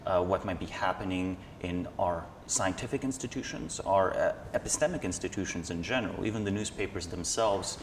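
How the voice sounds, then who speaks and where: A man's voice: low voice, a little fast, few pauses. A man around thirty, explaining his ideas in a thoughtful, analytical, conversational way as an interview guest. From Slovenia. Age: 30s. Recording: echoey hall with background noise.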